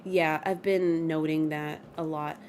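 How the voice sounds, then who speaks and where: A woman's voice: sits low, measured pace, a little hiss or hum. A woman in her mid-twenties chatting casually on a gaming livestream, reading chat and reacting aloud. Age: 20s. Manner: chatty, animated, reacts with gasps and laughs.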